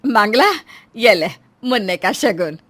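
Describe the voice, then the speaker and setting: Woman voicing an exasperated, slow-paced mother-in-law. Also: medium pitch, expressive, fairly bright tone. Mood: sociable, proud. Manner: scolding, long-suffering, dramatic. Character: exasperated, proud middle-aged mother-in-law. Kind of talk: Hindi moral story cartoon dialogue.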